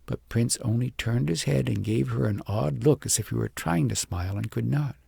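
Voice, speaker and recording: A man narrates a storybook with a heavily gravelled, husky voice. His delivery is measured and expressive, as an audiobook reader's is. The sound is dry, with very little room to it. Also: mid-range voice, dry recording.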